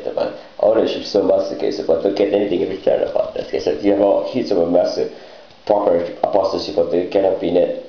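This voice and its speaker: Muffled, low gravelly man, rambling. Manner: halting, disjointed, hesitant phrasing with garbled grammar. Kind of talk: rambling improvised monologue. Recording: muffled lo-fi, echoey room.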